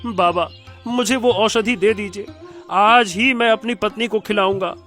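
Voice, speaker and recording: Cartoon poor husband male actor: high voice, gullible, deferential, hesitant pleader over faint music. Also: measured pace, sharp tone. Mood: pleading. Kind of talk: Hindi cartoon moral story acting.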